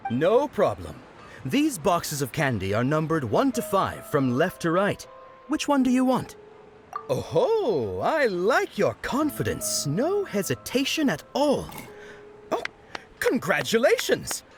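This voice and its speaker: A woman's voice: lowish voice, huge pitch swings, takes it slowly, faint music behind, full character voice. A woman playing an upbeat, teasing candy vendor at a festival in a video game, friendly and salesy. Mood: amused, upbeat. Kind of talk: animated video game voice acting.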